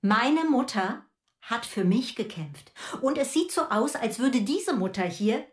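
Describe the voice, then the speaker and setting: A woman's voice: a little high, expressive, a little slow. An actress playing an angry, proud middle-aged mother who rants with sarcasm in a radio drama. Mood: indignant.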